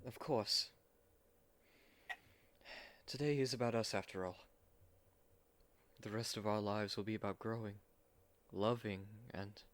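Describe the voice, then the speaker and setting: A man playing an earnest, tender gentleman newlywed in a romantic audio drama, soft-spoken and hesitant, with a mournful sincerity. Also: fairly low pitch, steady tone, unhurried, long pauses, natural voice.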